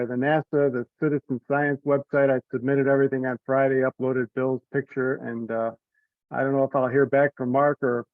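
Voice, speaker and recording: A man with a noticeably creaky voice presents informally in an online meeting. He hesitates and thinks aloud as he goes. The sound is thin and phone-like, with a dry, close feel. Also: medium pitch, no room sound. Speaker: telecon presenter walking through slides. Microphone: online conference call. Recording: narrow tinny conference-call line.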